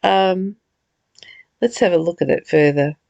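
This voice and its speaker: A woman hosting a spiritual study, reading aloud and reflecting casually in a halting, deliberate way. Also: fairly low pitch, gravelly, darkish tone, dry recording, muffled recording. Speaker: host of a spiritual book study.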